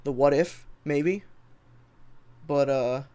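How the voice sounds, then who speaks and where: An American man talks in a medium-pitched voice on a casual livestream. He rambles informally, drops the odd swear word and replies to viewers as he goes. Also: takes it slowly, mellow, clear, resonant tone. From Texas, United States. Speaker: livestreamer and video editor. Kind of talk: casual livestream chat, reading and answering comments.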